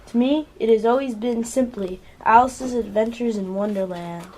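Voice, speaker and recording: A woman's voice: a little high, moderate pace, dark tone. A female amateur narrator reading scripted storybook narration for a radio play.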